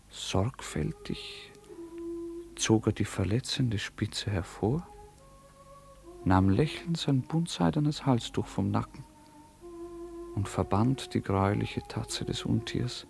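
A man narrating a radio play in a measured, solemn, formal literary manner. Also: fairly low pitch, vocal fry.

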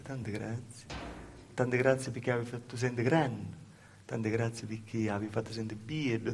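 A male actor playing a poor, naive, anguished young village woman in love, in a stage monologue with a Calabrian accent, telling it in halting, repetitive short phrases. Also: medium pitch, natural voice. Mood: tender, grateful. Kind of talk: solo stage monologue in southern dialect. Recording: faintly noisy live theatre recording.

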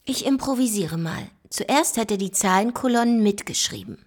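An actress playing an analytical investigator in a radio play, speaking in a deliberate, explanatory, literate way. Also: female voice, medium pitch, animated, close to an everyday voice. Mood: reflective, explaining. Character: analytical woman investigator puzzling out a secret code. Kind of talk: German radio play dialogue.

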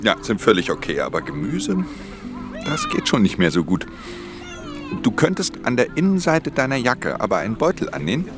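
An actor playing a wary, world-weary pickpocket in a radio play, terse, hushed and matter-of-fact in his telling. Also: medium pitch, huge pitch swings, moderate pace. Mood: calm, instructive. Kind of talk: German radio play narration and dialogue. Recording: music under the voice.

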